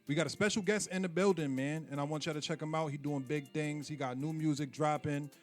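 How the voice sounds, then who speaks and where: A man's voice: fairly high pitch, fairly even intonation, fairly quick, soft background music. A man hosting a casual radio interview, laid-back and slangy with lots of fillers. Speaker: radio show host.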